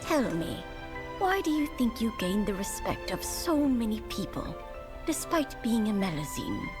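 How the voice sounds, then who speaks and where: A kind old lady speaks slowly and tenderly, full of gratitude. Her even lines come over soft game music in a cutscene. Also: mid-range voice. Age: older. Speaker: game character. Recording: game audio with music behind.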